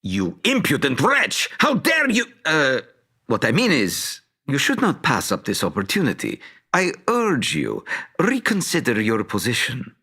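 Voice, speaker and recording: Pompous, sharp-voiced wizard. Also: male voice, medium pitch, huge pitch swings, measured pace, slightly rough, exaggerated voice. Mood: indignant. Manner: pompous, imperious. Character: arrogant, scheming, pompous wizard man. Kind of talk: theatrical video game voice acting.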